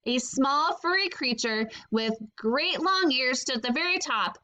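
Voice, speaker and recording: Female teacher, high bright voice, expressive children's read-aloud. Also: measured pace. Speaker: teacher reading aloud to pupils.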